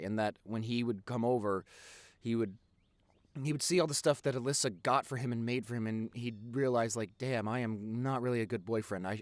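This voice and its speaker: Casting: male actor as chatty, protective teen boyfriend for audio roleplay; mid-pitched, rambling, halting delivery, very dry recording. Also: dry recording, natural voice. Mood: earnest, fond. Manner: rambling, halting, repeats himself, emphatic. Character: chatty, protective teenage boyfriend reminiscing about his family.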